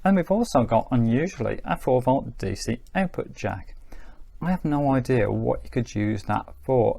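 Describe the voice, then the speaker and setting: A man's voice: mid-range voice, gravelly, a little mellow. A man giving a casual hands-on gadget review in a chatty, unscripted, explanatory way. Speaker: product reviewer.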